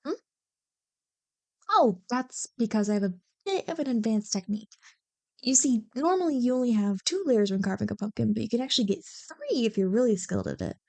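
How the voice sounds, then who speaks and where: A woman plays a bubbly young childhood friend with a fairly high voice, recorded very dry. She banters and teases playfully, chatting casually as if to one listener in an ASMR roleplay. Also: expressive, a little fast, vocal fry, darkish tone, dry recording. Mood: chatty, explaining.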